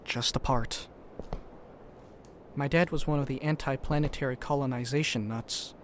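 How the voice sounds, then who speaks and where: An actor playing a loyal young crewman in an audio drama, speaking in a measured, earnest, reassuring way. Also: medium pitch, fairly even intonation, slight background noise, natural voice. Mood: somber.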